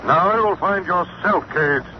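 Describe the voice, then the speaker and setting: An actor playing an unseen, mocking, commanding avenger with a portentous delivery in a 1940s radio drama. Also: male voice, sits high. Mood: ominous, mocking. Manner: slow, portentous, taunting. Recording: noisy, muffled old 1940s radio recording.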